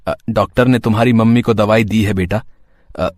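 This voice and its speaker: A man's voice: fairly low pitch, steady tone, moderate pace, darkish tone, dry recording, studio quality, natural voice. A man voicing a humble, caring husband and street sweet seller in a cartoon story, earnest in manner. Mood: reassuring.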